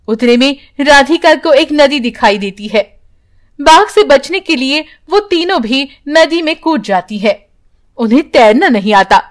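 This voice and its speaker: Slow, high-pitched woman storyteller. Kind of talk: scripted children's story narration.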